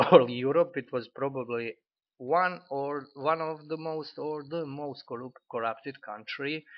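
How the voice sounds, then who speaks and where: A man in his forties from Croatia with a Croatian accent, critiquing an article in halting speech with many fillers and restarts. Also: mid-range voice. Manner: hesitant, frequent fillers and restarts. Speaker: commentator. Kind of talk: unscripted spoken critique. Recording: dull lo-fi audio.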